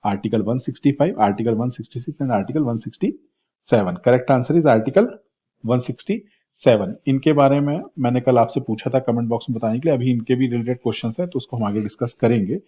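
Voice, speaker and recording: A slightly hoarse man with an Indian accent, teaching a quiz-style exam lecture and repeating questions in Hindi and English. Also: mid-range voice, normal speed. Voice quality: husky. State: slightly hoarse, sore throat. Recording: dull, lo-fi lecture audio.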